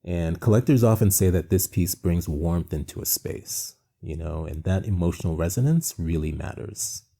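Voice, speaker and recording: A man's voice: deep voice, normal speed, creaky, dark tone. A man from Jamaica hosting an art presentation, talking in a conversational, reflective and earnest way with frequent asides. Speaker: art show host and founder.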